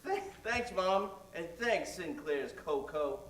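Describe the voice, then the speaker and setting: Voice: medium pitch, animated, takes it slowly, far from the mic. An adult performer playing an eager, wholesome schoolboy in a parody 1940s radio commercial. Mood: grateful. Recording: roomy stage sound, off-mic.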